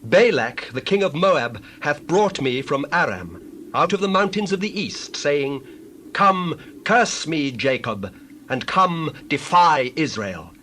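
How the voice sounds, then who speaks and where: Intense biblical prophet, declamatory, animated higher voice, faint background birds, audio scripture drama. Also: male voice, takes it slowly, a little hiss or hum, full character voice. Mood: declaiming. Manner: declamatory, theatrical. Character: Balaam, intense theatrical male biblical prophet.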